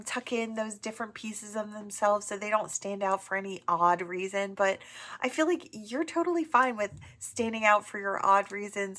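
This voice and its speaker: A woman with a stuffy nose from allergies, gently giving a channeled tarot reading full of affirmations, often pausing with "um" and "right?". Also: medium pitch. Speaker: tarot reader. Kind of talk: channeled oracle reading to camera.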